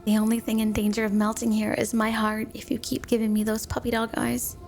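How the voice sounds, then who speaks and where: A woman's voice: a little high, monotone, brisk, gravelly, darkish tone, faint music behind. A woman playing a flirty, doting, obsessive snow woman wife in an intimate ASMR roleplay, teasing and coy. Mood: tender, reassuring.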